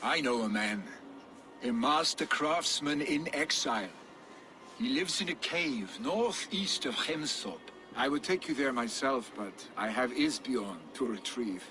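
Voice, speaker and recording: An actor playing a confident young Viking warrior who speaks in an easygoing, assured way. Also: male voice, medium pitch, expressive, measured pace, natural voice. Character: confident Viking son of the clan.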